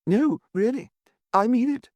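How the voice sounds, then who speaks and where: Giggly young lady tourist, a man's falsetto. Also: lively intonation, unhurried, darkish tone, no echo. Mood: gushing.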